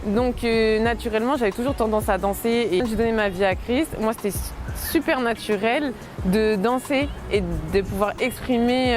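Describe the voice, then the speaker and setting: A woman in her late twenties, a dancer, talking casually and hesitantly in an interview, with many fillers and soft laughs. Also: mid-range voice. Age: late 20s.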